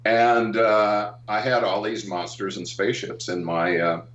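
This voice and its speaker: An older American man with a deep voice looks back on his working life in an interview. He talks haltingly, with pauses and ums. The sound is dull and roomy, as if he sits some way from the microphone. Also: level tone, fairly bright tone, slight background noise. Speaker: interview guest, animation artist and art director. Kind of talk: reminiscing in a casual interview. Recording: roomy, dull, distant call-like audio.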